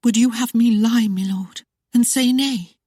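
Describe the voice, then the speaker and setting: A woman's voice: on the high side, a little slow, mellow, husky, dry recording, studio quality, voice pushed up. A woman voicing a proud young noblewoman held prisoner, speaking in a formal old-fashioned way, defiant and pleading. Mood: earnest.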